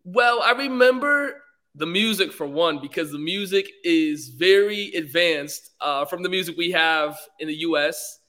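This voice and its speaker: A man's voice: fairly high pitch, a little slow, sharp tone. A young man from England, a guest on a podcast, talking politely and easygoingly with many fillers. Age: young adult. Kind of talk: casual interview.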